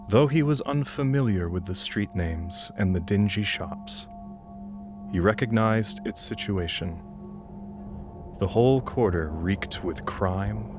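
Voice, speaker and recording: A man narrating an audio drama as a grave, solemn storyteller with an ominous manner. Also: fairly low pitch.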